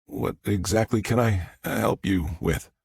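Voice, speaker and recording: Male narrator as flustered grieving son, halting stammer, gravelly edge, dry close sound, audiobook drama. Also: fairly low pitch, a little mellow, no room sound, exaggerated voice. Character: rattled, stammering grieving son, an adult man. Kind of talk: audiobook character dialogue.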